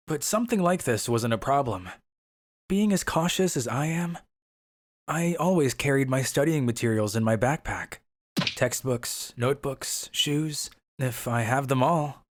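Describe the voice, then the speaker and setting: A performer voicing a brooding, cowardly teenage schoolboy who narrates a manga story in a melodramatic, scheming way. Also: mid-range voice. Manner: melodramatic, sinister chuckling schemer.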